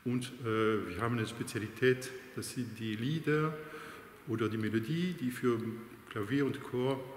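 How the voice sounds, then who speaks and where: A man's voice: medium pitch, a little hiss or hum. Middle-aged man with a French accent. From France. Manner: hesitant, searching for words with many fillers. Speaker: choir director. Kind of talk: interview answers. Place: large church with organ, indoors. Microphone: unseen mic, framed chest-up. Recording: echoey church with long reverb.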